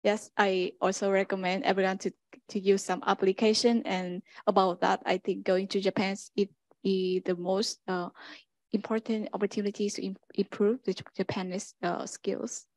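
A woman's voice: mid-range voice, level tone, normal speed, darkish tone, no room sound. Young Vietnamese woman, hesitant English. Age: young adult. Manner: hesitant, frequent restarts. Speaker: international student panelist. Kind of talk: casual panel answers. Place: indoor meeting room. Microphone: handheld mic.

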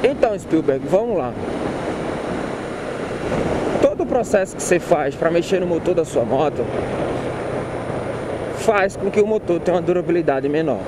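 A man's voice: fairly high pitch, lively intonation, a little fast. A man talking casually and informally while riding a motorcycle in city traffic, repeating himself and using slang. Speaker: motovlogger. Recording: loud road and wind noise. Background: loud traffic and engine noise.

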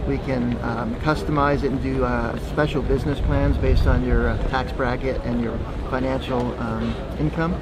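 A middle-aged man, a yacht sales representative, calmly listing features with frequent pauses while outdoors on a docked yacht. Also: mid-range voice, heavy background noise. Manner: matter-of-fact, lists features with frequent uh and um. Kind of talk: product walkthrough in conversation.

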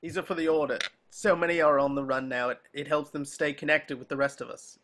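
A man playing a worried, kindly middle-aged father in a table read, speaking in earnest, halting reassurance. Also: fairly high pitch, fairly even intonation, fairly bright tone, lightly acted.

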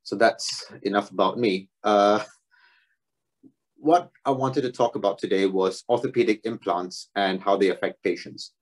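A young man from Singapore giving a relaxed academic talk as a doctoral student, self-deprecating and dotted with fillers. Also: lowish voice, normal speed, rough recording. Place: home room, video talk.

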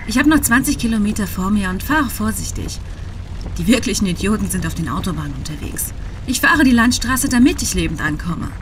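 A woman's voice: medium pitch, expressive, fairly quick, close to an everyday voice. An actress playing an irritable, sarcastic woman driver in a horror audio drama. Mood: exasperated, sarcastic. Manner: sarcastic, exasperated. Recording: clear rain and car noise behind.

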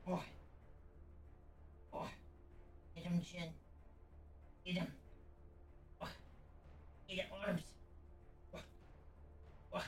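A woman's voice: on the low side, completely flat delivery, extremely slow, long pauses. A woman baby-talking to a pet dog in short, cooing, repeated phrases. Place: indoor room, away from the mic. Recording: roomy, distant from mic, music behind.

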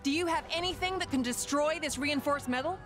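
Female game warrior, actress: no-nonsense, direct, high voice, urgent delivery. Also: brisk, lightly acted. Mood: questioning. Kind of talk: video game cutscene acting. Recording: loud game music behind.

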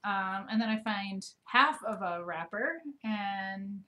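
A woman in her thirties co-hosting a casual ranch livestream from a living room couch, chatty and quick to laugh. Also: medium pitch, bright, forceful tone. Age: 30s. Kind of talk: casual live chat.

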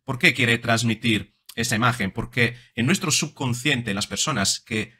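A man's voice: mid-range voice, moderate pace, pushed and bright, husky. A man in his forties giving explanatory, analytical commentary as a psychologist, often checking in with short tag questions, close on a desk microphone. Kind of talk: analytical commentary on body language. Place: small room indoors. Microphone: desk microphone close in front.

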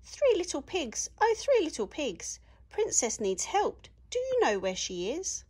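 An earnest young boy hero from a fairy-tale storybook , acted out by a woman reader. He calls out high and urgent, with swooping, lively pitch. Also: unhurried, heavily acted. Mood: calling, pleading.

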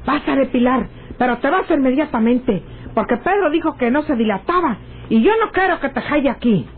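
A woman's voice: sits high, lively intonation, normal speed, a little hiss or hum. An actress playing a stubborn, mocking rural woman in love, with a Mexican accent and a theatrical, scolding radionovela delivery. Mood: urgent, dismissive. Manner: scolding, scoffing, theatrical, folksy. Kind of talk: radionovela acting. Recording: dull lo-fi old radio drama recording.

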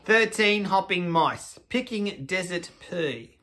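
Animated Australian man reading to children. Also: sits high, takes it slowly. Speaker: children's read-aloud co-host. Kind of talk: casual chat and picture-book read-aloud for children. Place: indoor living room on a sofa.

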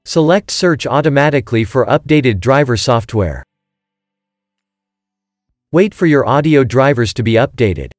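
A man narrates a scripted how-to guide in short, clear-cut commands. His pitch moves in an animated way around an average male register. Faint music plays behind him. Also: unhurried, long pauses, no echo, studio quality. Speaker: tutorial narrator. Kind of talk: scripted step-by-step instructional narration. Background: background music.

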